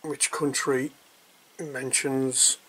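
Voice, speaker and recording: A man giving casual, hesitant unboxing commentary in short pieces with long pauses. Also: medium pitch, flat delivery, a bit bright, breathy edge, rough recording. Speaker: hobbyist product reviewer.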